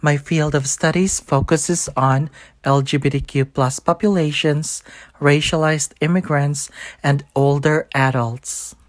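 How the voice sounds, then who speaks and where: A woman's voice: deep voice, fairly even intonation, a little slow, no room sound. A woman from the Philippines, an assistant professor, giving a formal and plain self-introduction.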